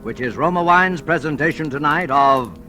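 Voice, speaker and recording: A man's voice: on the high side, moderate pace. A man formally announcing a 1940s radio suspense program. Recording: old 1940s radio broadcast, music behind.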